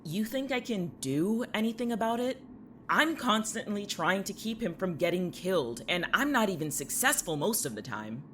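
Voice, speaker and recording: A woman's voice: medium pitch, sing-song, normal speed, a bit bright, slight background noise. A woman acting in an audio drama as a guarded, defiant, weary young woman, curt and sullen. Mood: weary, exasperated.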